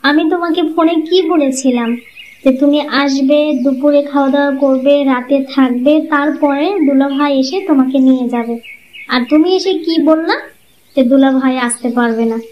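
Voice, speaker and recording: Actress as a pert, high-voiced young wife. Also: female voice, normal speed, lightly acted. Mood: insistent, fussing. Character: self-centred, chatty young daughter-in-law. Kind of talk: cartoon fairy-tale voice acting. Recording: muffled lo-fi audio, clear background noise.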